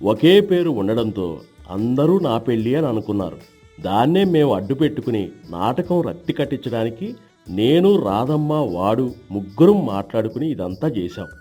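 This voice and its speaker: A man playing an emphatic, admonishing village husband in an acted moral story, with a husky voice. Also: medium pitch, very animated, moderate pace, mellow, faint music behind. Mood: amused, explaining. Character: indignant village husband, teasing, morally lecturing.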